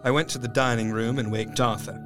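An actor playing a melancholy, dutiful doctor, narrating his diary in a measured, formal way for an audio drama. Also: mid-range voice, fairly even intonation, music in the background, lightly acted. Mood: sorrowful, gentle.

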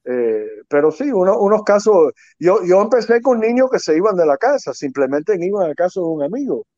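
A man's voice: sits high, very animated, brisk, mellow, no echo. A middle-aged man from Quebec raised in Miami, a child rescue investigator talking casually as an interview guest, rambling and mixing in English words. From Quebec, Canada, raised in Miami. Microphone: phone or call line. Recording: dull lo-fi sound.

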